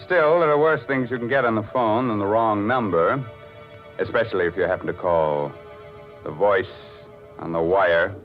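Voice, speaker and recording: A man's voice: on the low side, normal speed, soft background music. A man announcing and narrating in scripted 1940s radio style, theatrical and polished. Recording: muffled, narrow-band 1940s radio recording.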